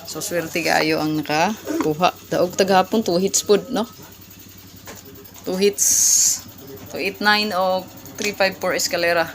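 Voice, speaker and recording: Visayan filipino vlogger talking casual, repeats stuff a lot, mid pitch, pretty noisy amateur recording. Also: fairly even intonation, slow, gravelly. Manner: repeats key words and numbers, addresses viewers as ma'am and sir. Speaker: vlogger giving number tips. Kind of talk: casual informal vlog explanation.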